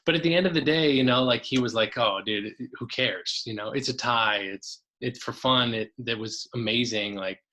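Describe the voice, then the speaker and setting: A man's voice: mid-range voice, normal speed, few pauses, pushed and bright. A man, a coach and podcast guest, talking casually and enthusiastically, often restarting his sentences.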